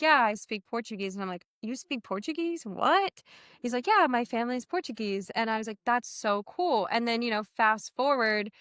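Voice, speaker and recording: A woman from the United States, a podcast guest, chatting casually and excitedly, acting out quotes as she tells stories. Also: a little high, clear, resonant tone, dry recording, clean studio sound. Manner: excitable, animated storytelling with acted-out quotes. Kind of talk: casual chat.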